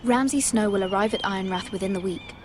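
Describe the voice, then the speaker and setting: A woman's voice: medium pitch, quick pace, background noise, natural voice. A woman playing an earnest young noble handmaiden in a video game, speaking politely and carefully, with anxious deference. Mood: anxious, pleading. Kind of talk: cinematic video game voice acting.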